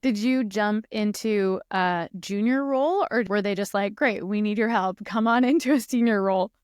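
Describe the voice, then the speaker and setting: A woman's voice: medium pitch, normal speed, a little mellow, clear, resonant tone, no room sound, studio quality. A woman hosting a podcast, reading the introduction and asking the guest questions in an upbeat, enthusiastic way. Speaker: podcast host.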